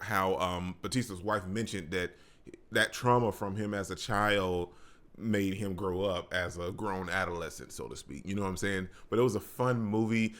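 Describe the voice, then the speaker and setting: A man's voice: deep voice, fairly bright tone. A man chatting casually as a movie reviewer on his own channel, in a colloquial, slangy and enthusiastic way. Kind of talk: casual video review chat.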